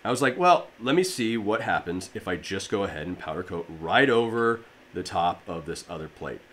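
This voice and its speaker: Raspy-voiced man explaining a garage DIY. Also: deep voice, expressive, moderate pace, fairly bright tone, slight background noise. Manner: rambling, filler-heavy, friendly explainer. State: allergies. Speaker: fitness DIY channel host. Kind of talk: casual how-to talk to camera. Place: home garage gym, indoors.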